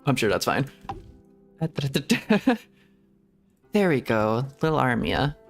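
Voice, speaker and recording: A man's voice: medium pitch, big pitch swings, slow, gravelly, faint music behind. A man streaming a game, rambling to himself in casual commentary and giggling often. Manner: rambling self-talk with frequent giggles. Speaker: VTuber streamer.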